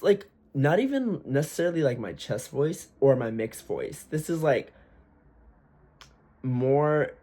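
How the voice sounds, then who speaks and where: A man's voice: mid-range voice, a little mellow. Young man, casual vlog chat. Slightly forced raised voice, candid, loose with curse words. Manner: chatty, candid, swears freely, many fillers. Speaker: aspiring singer vlogger. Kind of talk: casual talk to camera.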